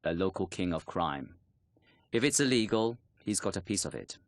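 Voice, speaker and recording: A man playing a guarded Chinese intelligence colonel in a game cutscene, speaking formally and deliberately. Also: low-pitched, lively intonation, dry recording, studio quality. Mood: grave, explaining.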